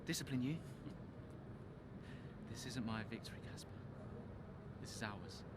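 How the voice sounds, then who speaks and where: A man's voice: medium pitch, unhurried, very noisy, lightly acted. An actor playing a young, earnest male rookie racing driver, speaking eagerly to his veteran teammate. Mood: elated. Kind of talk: game cutscene acting.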